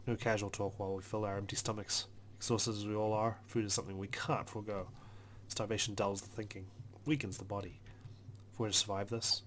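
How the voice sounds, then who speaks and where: Low-voiced man reading game narration. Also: a bit bright, a little hiss or hum. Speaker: let's player. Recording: music behind the voice.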